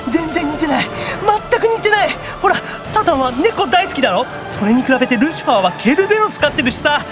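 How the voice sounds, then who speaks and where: A man's voice: extremely high pitch, sing-song, brisk, over music, voice pushed up. A man voicing an easily flustered otaku demon from a mobile game, frantic and blurting as he stammers through his words. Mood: nervous rambling. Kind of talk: mobile game character radio drama.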